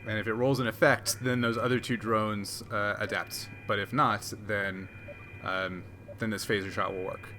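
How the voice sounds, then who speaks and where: A man's voice: lowish voice, fairly even intonation, moderate pace, noisy background. A man running a tabletop game as game master, reading rules aloud with many fillers.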